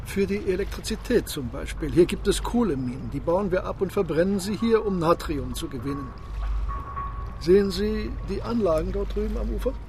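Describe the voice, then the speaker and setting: A cool submarine commander in a radio play. His voice sits a little high for a man. He speaks with measured, faintly mocking authority, with loud water and engine ambience behind him. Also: lively intonation, noisy background. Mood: self-assured. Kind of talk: radio drama acting.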